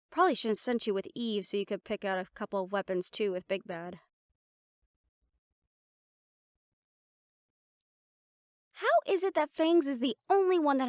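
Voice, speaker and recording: A woman's voice: on the high side, dry recording, clean studio sound, lightly acted. A woman playing a confident, playful siren-banshee warrior in a conversational audio roleplay, talking intimately to the listener. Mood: musing.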